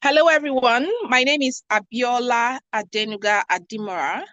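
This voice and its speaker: A woman's voice: on the high side, normal speed, sharp tone, no room sound, dull audio. A woman with a Nigerian accent introducing herself as a guest on an online panel. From southwest Nigeria. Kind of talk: self-introduction at a virtual talk. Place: online video call.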